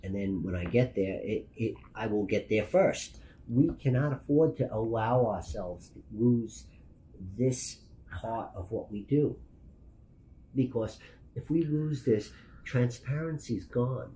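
A man's voice: medium pitch, a little slow, dark tone, low-quality recording. A man in his fifties with a New York accent, giving an emphatic, plain-spoken documentary interview as a veteran news photographer. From Brooklyn, New York. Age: 50s. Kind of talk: documentary interview, personal account. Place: meeting room indoors.

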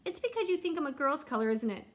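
Sassy storybook pink crayon, pointed and demanding, highish voice, played by a woman. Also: big pitch swings, fast. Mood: affronted. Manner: bossy, pointed.

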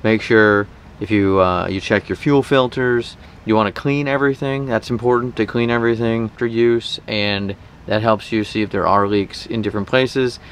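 A man in his thirties hosting a vlog, chatting informally to camera in a casual, animated explainer style outdoors. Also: fairly low pitch, normal speed, hardly any pauses. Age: 30s. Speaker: boating vlog host. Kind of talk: casual sponsored talk to camera. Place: outdoors in a boat cockpit at a marina. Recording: faint outdoor marina background noise.

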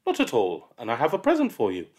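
A man voicing a cruel, furious elderly headmistress in an audiobook, with a shrill, bellowing delivery. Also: wildly swooping pitch, fast talker, a little mellow, husky. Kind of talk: audiobook character voice.

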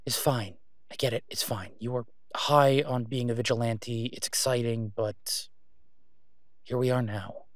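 A man acts out a brusque, sarcastic vigilante antihero for an audio drama. His mid-pitched delivery is weary and clipped, turning emphatic when irritated. The sound is dry and close, with no room around it. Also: fairly even intonation, measured pace, dry recording, lightly acted. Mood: resigned, urgent. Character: exasperated sardonic male vigilante antihero, brusque but protective. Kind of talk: solo audio roleplay acting, conversational.

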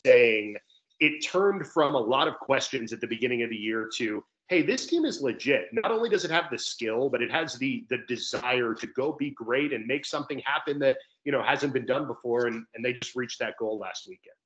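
A man's voice: medium pitch, animated, normal speed, a bit bright. A young man giving conversational sports analysis as a guest announcer on a video call. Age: young adult. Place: indoor room on a video call. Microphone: video call microphone. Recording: dull, slightly lo-fi call audio.

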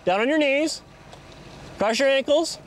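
A man's voice: very high-pitched, animated. Male police officer, noisy roadside field audio. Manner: loud slow commands at a traffic stop. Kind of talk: field commands and casual police talk. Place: outdoors at night on a roadside.

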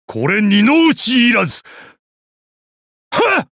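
A man playing a calm, deadly old martial arts master and assassin in a video game, with a weathered, measured, wry delivery. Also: very high-pitched, dry recording, studio quality, heavily acted. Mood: fierce, commanding. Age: older. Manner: measured, wry, explosive battle cries. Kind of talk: video game battle voice lines.

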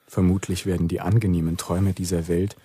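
A man performing a sober narrator in a radio play, measured and formal, with a husky voice. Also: sits low, level tone, background noise, close to an everyday voice. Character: sober male narrator of a strange dream.

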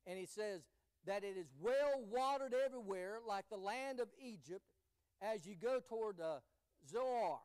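Middle-aged man, high-pitched voice, emphatic sermon delivery, church pulpit. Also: animated, measured pace, darkish tone, smooth, poor audio. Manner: emphatic, repeating phrases for weight. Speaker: pastor. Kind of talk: sermon with scripture reading.

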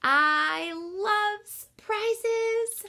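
A woman's voice: very high voice, extremely slow, gravelly, pushed and bright, pitched above the performer's own voice, heavily acted. A woman voicing an eager young boy in a children's storybook, nervous but boasting with earnest bravado. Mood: excited.

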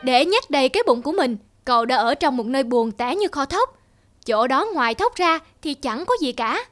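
A woman voicing a pompous, greedy rat in an animated fable, boastful and condescending. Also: high-pitched, moderate pace, soft background music. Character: pompous, sly, greedy library-dwelling rat. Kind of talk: animated fable character acting.

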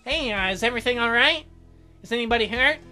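A woman voicing a mild, awkward, concerned young man in a visual novel, hesitant and low-key. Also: expressive, quick pace, sharp tone, faint music behind.

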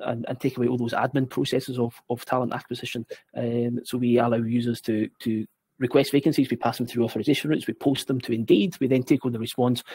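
Halting man on a headset. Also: mid-range voice, a little mellow, breathy edge, no room sound. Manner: halting, many repeated words and ums. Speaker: webinar guest. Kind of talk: casual webinar chat. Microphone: headset on video call.

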